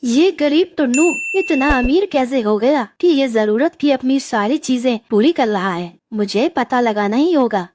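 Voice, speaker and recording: Woman as mean high-voiced cartoon crow. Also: lively intonation, measured pace, few pauses, darkish tone, no echo. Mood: jealous, scheming. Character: mean, jealous male crow, elder brother. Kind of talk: Hindi moral-story cartoon voice acting.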